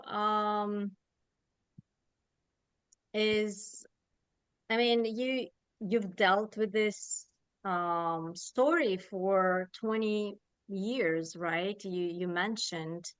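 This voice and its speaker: A Romanian-accented woman in her forties speaks as an executive coach on a video-call interview. She takes her time and often restarts her phrases while she thinks. Her voice is smooth and resonant, with a dry, close sound and no room around it. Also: mid-range voice, a bit bright, dry recording. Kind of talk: podcast interview answer.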